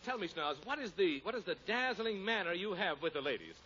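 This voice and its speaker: A man's voice: high-pitched, very animated, fairly quick, sharp tone. A man speaking as a 1940s radio straight man and announcer, with a polished, emphatic scripted delivery. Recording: muffled, noisy old radio recording.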